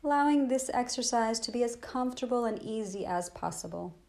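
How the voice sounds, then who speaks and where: A woman teaching a guided body scan meditation in a gentle, soothing, unhurried manner with long pauses. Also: a little high, lively intonation, vocal fry.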